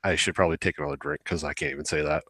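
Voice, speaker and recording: A man's voice: very deep voice, fairly even intonation, very fast, a bit bright, husky, no room sound, clean studio sound. A man hosting a podcast, chatting casually with plenty of swearing and sarcastic exaggeration. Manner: sarcastic, hyperbolic. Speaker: podcast host. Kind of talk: casual, profane podcast chat.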